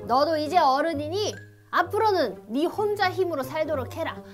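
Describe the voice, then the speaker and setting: A woman's voice: high voice, animated, moderate pace, faint music behind. A woman playing a cruel, bossy, greedy elder brother in a children's storybook. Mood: harsh, commanding.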